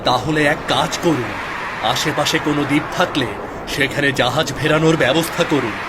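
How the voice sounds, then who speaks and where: A man's voice: fairly high pitch, fairly quick, very noisy, lightly acted. A man voicing a formal, dramatic young prince in a fairy-tale cartoon dub. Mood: commanding. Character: young prince leading a sea voyage, commanding. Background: music behind the voice.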